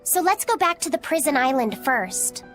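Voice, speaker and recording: A woman voicing a high, gentle young boy. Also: animated, moderate pace, soft background music. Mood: earnest. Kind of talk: game dialogue dub. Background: game score.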